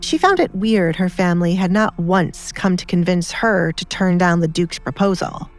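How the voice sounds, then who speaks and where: A woman's voice: fairly low pitch, moderate pace. A woman reading scripted story narration as an audiobook narrator. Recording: music behind the voice.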